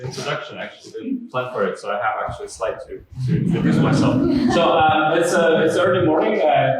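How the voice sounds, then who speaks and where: A man's voice: medium pitch, noisy background. A man giving a friendly, chatty, informal lecture. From Ukraine. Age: 30s. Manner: friendly, chatty, self-deprecating. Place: lecture venue. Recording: echoey hall, mic far off.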